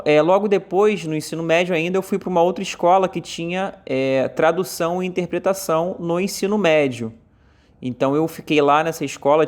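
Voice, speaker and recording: A Brazilian man interpreting a deaf speaker's talk aloud in first person, in short halting phrases with frequent fillers. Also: on the high side. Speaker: sign language voice interpreter.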